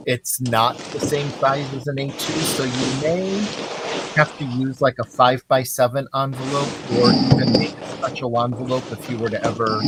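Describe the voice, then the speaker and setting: A man with a calm, soothing voice chatting casually and self-deprecatingly as he co-hosts a craft livestream. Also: medium pitch, very noisy. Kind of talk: casual chat while crafting.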